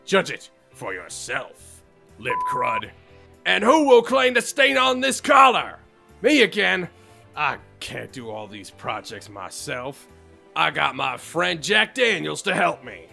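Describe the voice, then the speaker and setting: A man's voice: fairly high pitch, huge pitch swings, slow, creaky, pushed and bright, faint music behind, exaggerated voice. A man voicing a jaded, foul-mouthed female team leader in a theatrical, crude comedic dub of a visual novel. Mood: bitter, ranting. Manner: theatrical, crude, world-weary.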